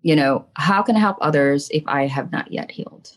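Podcast guest: woman, middle-aged, low register, from the Philippines, casual and candid personal storytelling with emphatic check-ins. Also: moderate pace. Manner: candid, emphatic, often checks in with "right" and "you know". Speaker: podcast guest, trauma coach and spiritual medium.

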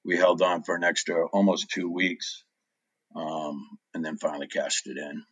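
A man in his forties talks in a very low, deep voice, recorded dry and close. He rambles through his story as an interview guest, filling gaps with ums. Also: little pitch movement, moderate pace, fairly bright tone, no room sound. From New Hampshire. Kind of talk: casual interview chat.

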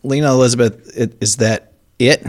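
A man's voice: medium pitch, fairly even intonation, normal speed. A man co-hosting a studio interview, teasing the guest with jokey asides. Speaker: radio show co-host.

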